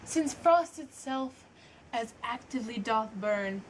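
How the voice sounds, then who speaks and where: Woman doing an anguished shakespeare prince, accusing his mother, slow dramatic verse, highish voice. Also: expressive, slight background noise, rough recording. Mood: scornful, outraged. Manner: declamatory, impassioned, deliberate. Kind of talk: stage Shakespeare monologue acting.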